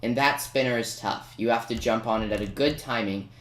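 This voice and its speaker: A man's voice: mid-range voice, brisk. Man doing game commentary in an echoey room. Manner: self-mocking, playfully boastful. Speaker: gaming video maker. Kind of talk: casual gameplay commentary. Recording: roomy, noisy home recording with keyboard clicks.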